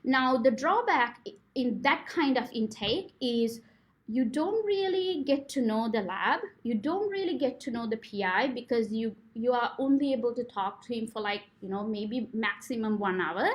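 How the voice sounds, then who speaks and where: Sri Lankan woman giving grad school advice. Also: a little high. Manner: frequent fillers, earnest advising tone. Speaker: postdoctoral researcher giving career advice. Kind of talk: informal talk to prospective students.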